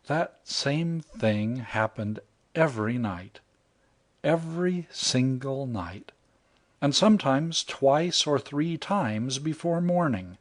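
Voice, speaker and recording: A man narrating a humorous short story in the first person, wry and even. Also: medium pitch. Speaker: audiobook narrator. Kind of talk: scripted narration.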